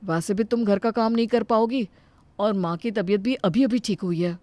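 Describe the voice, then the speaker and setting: A woman voicing a young man, brisk and plain-spoken. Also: medium pitch, mellow, dry recording, lightly acted. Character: young married son, curt then caring. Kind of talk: cartoon dubbing.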